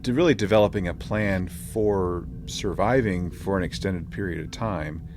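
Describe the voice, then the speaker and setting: A man co-hosting a casual podcast discussion, explaining things in a halting, tentative way. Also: deep voice, noisy background. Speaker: podcast co-host.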